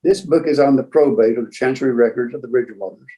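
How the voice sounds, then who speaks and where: An older man telling casual anecdotes as a guest book collector, in a rambling, halting way. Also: medium pitch, warm tone, rough recording. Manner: rambling, halting raconteur who acts out remembered exchanges. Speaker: museum founder and book collector, guest storyteller.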